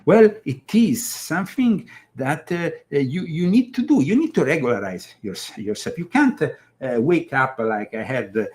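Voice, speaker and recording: A man from Italy with an Italian accent, hosting a political commentary show, speaking emphatically but halting often with fillers and restarts. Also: on the high side, very animated, a little mellow. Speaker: show host.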